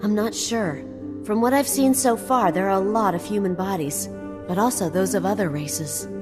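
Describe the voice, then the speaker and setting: A woman's voice: mid-range voice, normal speed, natural voice. Wry, nerdy female explorer for a fantasy game played by a woman, bookish explainer, big reverb, music underneath. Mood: analytical. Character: wry, nerdy female explorer-scholar, quick with dark jokes. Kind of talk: scripted video game dialogue. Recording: long hall reverb, game music behind.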